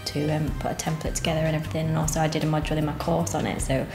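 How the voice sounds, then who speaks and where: A woman's voice: low-pitched, level tone, rapid-fire, gravelly, echoey room, music in the background. A woman in her twenties, a bakery co-owner, answering interview questions in an earnest, plain way with many ums. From England. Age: 20s. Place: cafe interior, seated on sofa.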